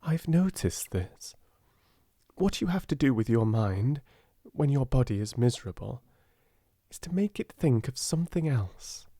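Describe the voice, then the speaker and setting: A man's voice: medium pitch, sing-song, measured pace, mellow, breathy edge, no room sound, studio quality, voice pushed up, heavily acted. A man voicing a brave, imaginative little girl with a British accent, earnest and kindly in a storybook reading.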